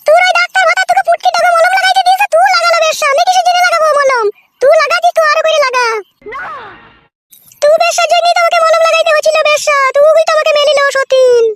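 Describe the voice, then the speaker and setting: Shrill, squeaky, furious village co-wife. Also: steady tone, fast, very noisy. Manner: scolding, cursing, wailing taunts. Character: shrill quarrelsome young co-wife, foul-mouthed, furious village woman. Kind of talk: comedy cartoon voice acting. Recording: music behind the voice.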